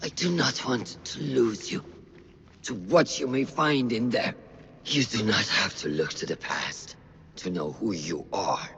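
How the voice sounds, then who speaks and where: An actor playing a stern, commanding leader of an assassin brotherhood in a video game, speaking deliberately. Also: medium pitch, creaky, slightly rough, big-room sound, lo-fi sound, exaggerated voice. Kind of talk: video game cutscene acting.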